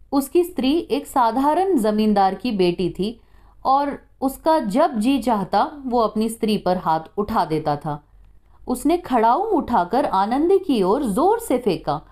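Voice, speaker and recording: A woman reads a short story as a practiced narrator. Her voice is slightly high and moves expressively up and down. She takes her time, with a mellow, soft-edged tone.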